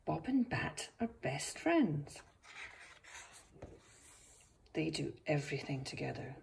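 A woman reading a picture book aloud to young children as its author, expressive, with gentle asides. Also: deep voice, moderate pace, creaky, slight background noise.

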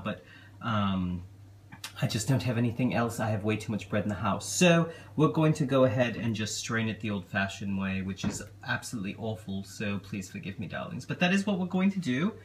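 A young man playing a genteel, affectionate elf king giving a gentle, hesitant, casual cooking demonstration. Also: lowish voice, animated, a little fast, natural voice. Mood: apologetic, affectionate. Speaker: cooking show host. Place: small home kitchen, indoors. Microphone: camera a step or two away. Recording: clearly noisy kitchen recording.

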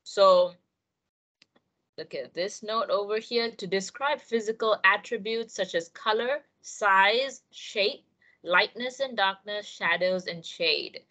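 A woman's voice: mid-range voice, slow, pushed and bright. A young woman with a Malaysian accent giving an encouraging online English writing lesson, speaking directly to her students.

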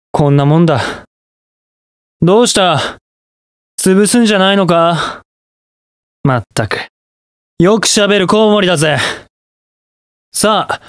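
A cocky young masked rider hero in a video game, an aloof wanderer with a cool, self-assured edge. He takes his time, with unhurried lines. The recording is dry and close, with no room sound. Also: high-pitched, a bit bright, smooth, no room sound, heavily acted.

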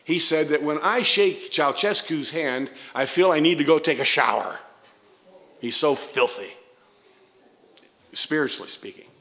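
A man's voice: a little high, animated, measured pace, pauses often, pushed and bright. An older man with a Midwestern American accent, a pastor preaching a sermon in a church sanctuary on a pulpit microphone, telling stories with emphatic asides.

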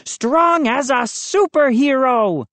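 Rowdy, boastful big boy for an audiobook, played by a woman: loud bellowing, high and very animated. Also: slow, no room sound, heavily acted. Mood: boastful, excited. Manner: bellowing, chanting. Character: big wild rowdy boy, boastful bully-ish pal. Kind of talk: audiobook character voice.

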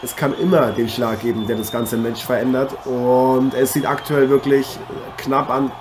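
A man's voice: medium pitch, fairly even intonation, normal speed, natural voice. A man playing a chatty, joking boxing interviewer and commentator in an improvised mock sports radio play, rambling and hesitant. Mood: rambling, genial. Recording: clearly noisy amateur recording.

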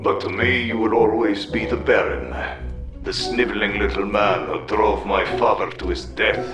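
An actor playing a cold, imperious armored warlord in a dramatic comic adaptation, speaking in a grandiose, commanding, deliberate way. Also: male voice, on the low side, fairly even intonation, natural voice. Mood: cold menace. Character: cold, imperious armored tyrant warlord narrating his conquest. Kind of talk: dramatic comic narration and dialogue. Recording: echoing voice under loud music.

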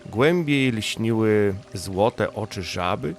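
Low, creaky male bedtime storyteller. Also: animated, takes it slowly, faint music behind. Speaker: audiobook narrator.

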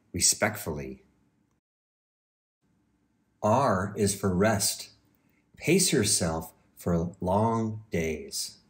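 A man reading a children's storybook aloud with measured, deliberate phrasing. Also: fairly low pitch. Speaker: university president, guest reader.

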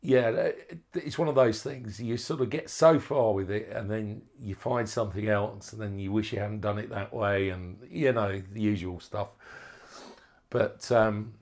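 Low-pitched man, halting hobbyist walkthrough. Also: a little mellow. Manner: hesitant, unscripted, halting phrasing. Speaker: amateur radio hobbyist presenting a project. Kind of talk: informal hands-on electronics walkthrough.

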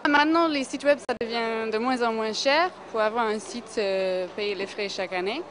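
A woman who works for an NGO, answering a street interview in a clear, matter-of-fact way into a handheld mic. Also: fairly high pitch, normal speed. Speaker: NGO worker. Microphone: handheld mic held by interviewer. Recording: steady background noise.

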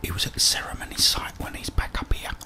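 A man speaking in a soft, breathy whisper close to the microphone, as in an ASMR game playthrough. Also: fast. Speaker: ASMR gamer and host.

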